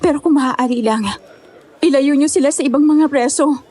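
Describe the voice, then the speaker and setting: Woman acting high voiced young lady survivor, polite and earnest, horror audio drama. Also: fairly even intonation, moderate pace, warm tone, a faint crowd, natural voice.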